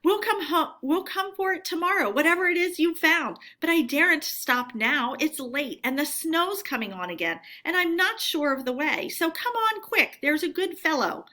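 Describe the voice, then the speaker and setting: Woman playing a bright, brisk storybook rat fellow. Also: high-pitched, lively intonation, voice pushed up. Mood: hurried insistence. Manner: brisk, chummy, insistent. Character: brisk cheerful male water rat, friendly, hurried. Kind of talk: storybook character voice.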